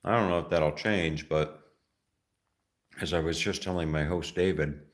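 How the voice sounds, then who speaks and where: A middle-aged man from the United States talking casually as a travel vlogger, rambling and halting with many fillers and restarts. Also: low voice, steady tone. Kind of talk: casual vlog talk.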